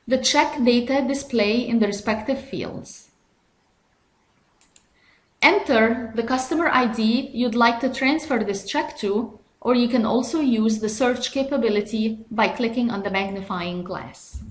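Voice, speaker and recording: A woman reading scripted step-by-step software instructions in a measured, instructional way. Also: a little high, roomy sound. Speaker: software tutorial narrator.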